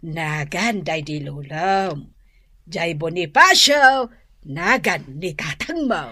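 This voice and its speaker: A woman's voice: low voice, lively intonation, pushed and bright, husky, no echo, clean studio sound, heavily acted. An actress playing a doting, bossy, sentimental older grandmother in a comedic radio drama, with an Ilocano accent and wailing exclamations. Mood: doting, delighted. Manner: melodramatic, wailing exclamations and drawn-out cries.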